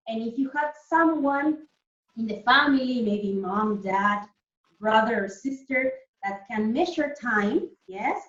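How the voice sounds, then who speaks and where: A woman primary school teacher leading an online lesson for children, explaining things simply and often checking that they follow. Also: fairly high pitch. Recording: echoey room, distant muffled mic.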